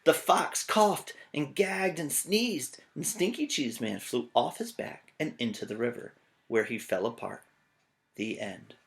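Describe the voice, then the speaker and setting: Very animated man with a gravelly creak. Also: medium pitch, unhurried. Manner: playful, joking, expressive. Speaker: teacher reading aloud. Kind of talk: animated children's story read-aloud with playful asides.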